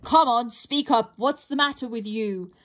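A woman voicing a huge, greedy, boastful male troll who speaks slowly in broken grammar. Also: lightly acted. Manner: slow, rumbling, ungrammatical speech.

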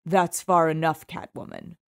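A grim masked vigilante, read by a woman, speaking in short, controlled, low-pitched lines. The storybook hero keeps his tone clipped and unshowy. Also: level tone, a little slow, dry recording, clean studio sound. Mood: stern. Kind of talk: audiobook character voice.

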